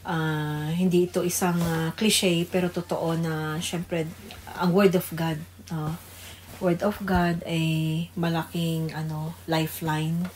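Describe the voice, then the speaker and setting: A woman with a Filipino accent sharing in an unscripted devotional talk, halting as she thinks aloud. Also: lowish voice, flat delivery, darkish tone, slight background noise, rough recording.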